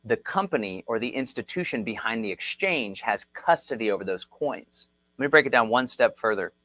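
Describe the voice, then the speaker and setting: Energetic livestream host, man, mid pitch, dry close sound, rallying and repetitive delivery. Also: normal speed, no room sound. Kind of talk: casual livestream explainer. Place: Texas.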